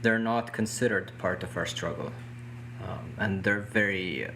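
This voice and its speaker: A man with a lowish voice whose first language is not English, answering interview questions in a measured, explanatory way. He sometimes restarts phrases while he hunts for a word. A faint hum sits under the recording. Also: a bit bright. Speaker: guest, youth movement organizer.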